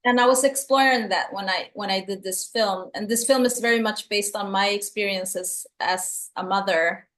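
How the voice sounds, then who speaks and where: A woman's voice: mid-range voice, moderate pace, few pauses, fairly bright tone. A middle-aged woman from El Salvador, a filmmaker speaking English with a Swedish and San Francisco accent, answering interview questions earnestly and often repeating words as she searches for them. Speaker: filmmaker guest.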